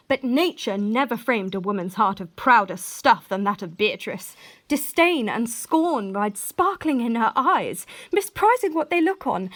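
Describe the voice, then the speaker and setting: A woman playing a lively, mischievous young gentlewoman in a Shakespearean radio play, with theatrical, emphatic delivery. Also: high voice. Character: lively scheming young gentlewoman about to be married. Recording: recorded separately at home.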